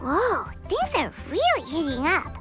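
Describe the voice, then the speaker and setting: A woman voicing a tiny, excitable childlike fairy companion in a video game, with a squeaky, exclaiming delivery. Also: unhurried, full character voice. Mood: amazed. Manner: exclaiming, refers to self in third person. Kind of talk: video game character acting. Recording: loud music behind.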